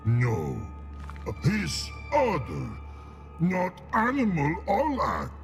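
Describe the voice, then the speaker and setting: A man voicing a slow-witted, gentle troll in a video game, talking in halting, broken grammar. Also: high voice, huge pitch swings, soft background music, exaggerated voice. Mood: puzzled, halting. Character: slow-witted, gentle male troll who guards a vault. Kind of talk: video game character acting.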